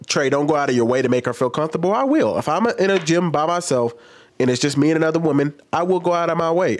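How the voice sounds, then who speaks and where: An American man in his thirties giving casual opinion commentary as a host, conversational and often posing questions to himself. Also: fairly high pitch. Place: small home room studio. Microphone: microphone on boom arm.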